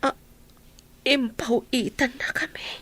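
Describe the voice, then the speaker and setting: A Filipino woman acting a frail, weary sick mother in a radio drama, speaking in a weak, breathy near-whisper with a Filipino accent. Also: high-pitched, steady tone, slow, a bit bright, heavily acted. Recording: faint background noise.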